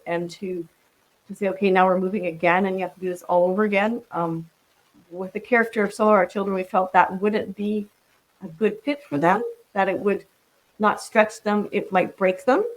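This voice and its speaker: A woman's voice: medium pitch, low-quality recording. A woman in her sixties from the Netherlands, a podcast guest talking reflectively and casually, with frequent ums and restarts. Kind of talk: casual interview conversation.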